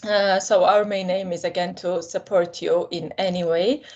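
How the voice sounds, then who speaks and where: Young woman from georgia, kinda husky voice, friendly encouraging mentor on a call. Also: on the low side, steady tone, normal speed, a little mellow, highs cut off. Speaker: graduate student mentor. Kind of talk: casual online talk.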